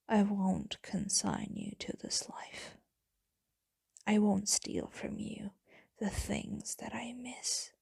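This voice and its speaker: A woman playing a tender, tormented female vampire lover in an intimate, brooding ASMR roleplay monologue, in a breathy, husky whisper. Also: medium pitch, no echo, clean studio sound, exaggerated voice. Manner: slow, brooding, hushed delivery.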